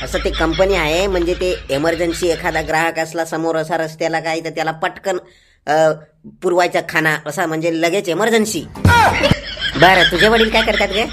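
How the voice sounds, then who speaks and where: A man's voice: a little high, fairly even intonation, normal speed, noisy background, close to an everyday voice. A man voicing a scheming, boastful young village lad in an amateur comedy cartoon, talking in a rustic, colloquial way. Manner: rustic, colloquial, cocky with hesitant stalling.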